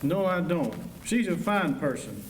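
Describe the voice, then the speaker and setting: A man's voice: a little high, big pitch swings, a little slow. Earnest young deputy played by a man, echoey. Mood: upset, defiant. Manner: earnest, folksy, protective. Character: earnest, loyal, indignant young male marshal's assistant. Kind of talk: staged radio western drama. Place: high school auditorium stage. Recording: echoey auditorium, distant mic, faint noise.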